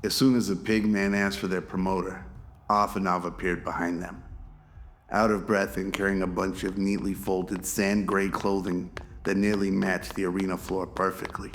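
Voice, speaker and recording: A man's voice: low voice, little pitch movement, normal speed, a little hiss or hum. A man reading scripted narration for a radio drama.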